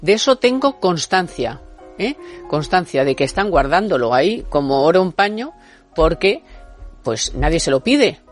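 A woman's voice: lowish voice, sing-song, moderate pace, soft background music. A woman hosting a radio talk show from a studio, talking in an emphatic, colloquial and sarcastic way.

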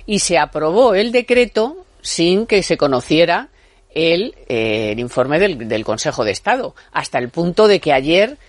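A woman's voice: deep voice, animated, measured pace, no echo. A woman hosting a radio talk show from a studio, talking in an emphatic, colloquial and sarcastic way.

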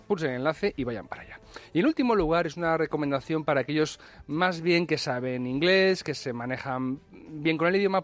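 A man giving investment recommendations as a radio co-host, in a discursive, hesitant, jokey way. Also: fairly high pitch.

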